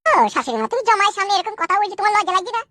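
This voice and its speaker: A man's voice: very high-pitched, lively intonation, very fast, sharp tone, heavily acted. A man voicing an indignant, boastful young village son-in-law in a bawdy cartoon. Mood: offended. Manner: cocky, protesting. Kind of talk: bawdy cartoon comedy acting.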